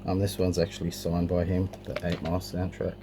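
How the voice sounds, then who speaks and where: Deep, gravelly man with noisy sound. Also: monotone, fairly quick. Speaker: collector presenting a cassette collection. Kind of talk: casual show-and-tell narration. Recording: clearly noisy amateur home recording.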